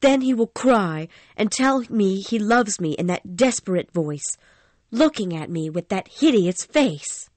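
A man reading a story and voicing a frightened young woman singer who pleads anxiously in a raised falsetto. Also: animated, a little slow, darkish tone, no echo. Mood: pleading.

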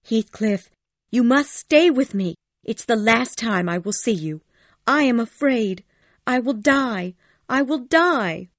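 A passionate young woman on her deathbed , played by an actress, in a dramatized storybook reading. Her voice is fairly high and swings widely in pitch as she pleads with desperate feeling. The sound is dry, with no room around it. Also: expressive, slow pace, no room sound, exaggerated voice. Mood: frightened pleading.

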